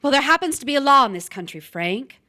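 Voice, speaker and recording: Woman acting a sharp, scheming noir femme fatale. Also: high voice, animated, fast talker. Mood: cold, suspicious. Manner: pointed, accusatory, dramatic. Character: steely, scheming young wife turned murderous widow. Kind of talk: noir stage drama dialogue.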